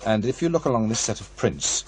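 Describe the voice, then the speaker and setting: An actor playing a pushy, ambitious radio program maker, insistent and persuasive, in a radio drama. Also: male voice, lowish voice, very animated, brisk. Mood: eager, persuasive. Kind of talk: naturalistic radio drama dialogue.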